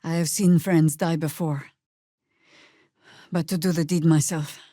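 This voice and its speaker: An actress playing a seasoned, sardonic older female druid warrior in a video game, with a wry, commanding, measured delivery. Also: low voice, fairly even intonation, warm tone, dry recording, clean studio sound. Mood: solemn, earnest. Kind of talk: video game voice acting, dramatic dialogue and battle barks.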